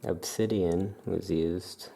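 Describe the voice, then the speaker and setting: An ASMR performer speaking softly and calmly in the role of a witch shopkeeper, explaining items in an unhurried way. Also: sits low, vocal fry. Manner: soft-spoken, calm, unhurried explaining. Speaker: witch shop keeper presenting amulets. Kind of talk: ASMR soft-spoken shopkeeper roleplay.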